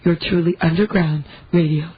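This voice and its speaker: A young woman with a deep, bassy voice co-hosts a radio show, bantering playfully and teasing right back. The sound is dull and lo-fi, with a faint hiss underneath. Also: steady tone. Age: young adult. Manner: playful, quick to tease back. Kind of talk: casual chat. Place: small radio studio. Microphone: studio mic.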